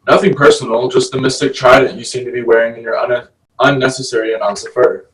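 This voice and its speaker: A man's voice: mid-range voice, fairly even intonation, fast talker, fairly bright tone, far from the mic, natural voice, low-quality recording. An actor at a table read playing a stern, grumpy fish-man guardian with a dry, exasperated manner.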